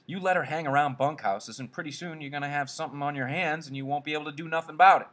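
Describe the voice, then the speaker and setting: A man voicing a brash, aggressive ranch hand who taunts in rough rural speech. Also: a little high, racing, a bit bright, voice pushed up, natural voice. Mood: scolding.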